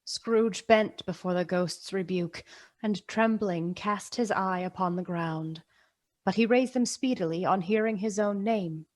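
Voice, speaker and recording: A solemn storyteller played by a woman narrates a radio play at a middle pitch. Her scripted delivery is measured and expressive, suited to an old Christmas tale. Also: close to an everyday voice. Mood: measured storytelling.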